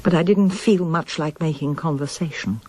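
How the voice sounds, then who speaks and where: An actress playing a withdrawn, troubled woman in a radio drama, speaking softly and introspectively with a breathy voice. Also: low voice, moderate pace, rough recording. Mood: numb, reflective. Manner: subdued, introspective. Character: withdrawn, troubled woman seeking the truth about her father. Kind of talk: radio drama dialogue and reflective narration.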